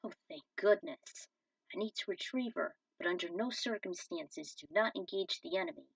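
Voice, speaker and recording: Woman as a husky, concerned father figure. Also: on the low side, moderate pace, no echo. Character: concerned, formal father and team mentor. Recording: dull, distant microphone.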